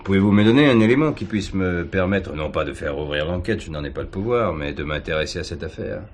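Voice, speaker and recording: An actor playing a middle-aged police commissioner in a radio drama, formal, measured and businesslike. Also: male voice, sits low, animated, slight background noise. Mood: probing. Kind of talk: 1970s French radio drama acting.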